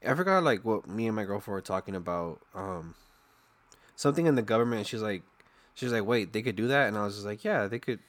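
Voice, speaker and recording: A man's voice: on the low side, animated, a little fast. A man hosting a casual chat as a streamer, laid-back and often laughing as he talks. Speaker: streamer host. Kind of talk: casual conversation.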